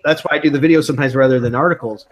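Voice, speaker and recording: A man's voice: mid-range voice, fairly even intonation, racing, lo-fi sound. Easygoing male chat-stream host. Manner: easygoing, talkative, encouraging. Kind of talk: casual online discussion.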